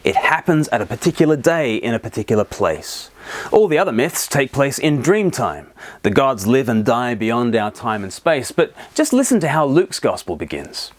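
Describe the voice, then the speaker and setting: Man presenting with lively, animated intonation. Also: medium pitch, moderate pace. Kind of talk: scripted narration to camera, explanatory.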